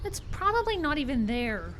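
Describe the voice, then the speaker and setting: Actress as frank, higher-voiced sensible woman. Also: big pitch swings, normal speed, fairly bright tone. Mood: reassuring, skeptical. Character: frank, sensible woman consoling her friend. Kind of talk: live audio drama acting. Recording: outdoor live stage, faint background noise.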